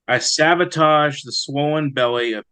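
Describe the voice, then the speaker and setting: A man speaking as an interviewed poet, in a reflective, halting conversational way. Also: a little high, bright, forceful tone, smooth, no room sound. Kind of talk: conversational interview with brief poem reading.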